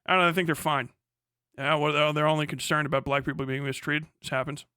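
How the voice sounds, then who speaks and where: A man's voice: fairly high pitch, steady tone, fast talker, bright, forceful tone, clear, resonant tone, no room sound, clean studio sound. A man doing casual, joking reaction commentary as a live streamer, sarcastic and swearing freely.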